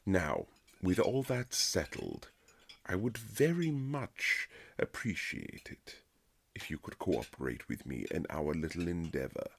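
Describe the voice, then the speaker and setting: A man playing a haughty, sardonic aristocratic husband in a romantic period audio drama, speaking in formal, measured, teasing phrases. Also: sits low, huge pitch swings, husky, heavily acted. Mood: irritated, stiff. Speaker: audio roleplay performer. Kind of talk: romantic period-drama ASMR roleplay, scripted.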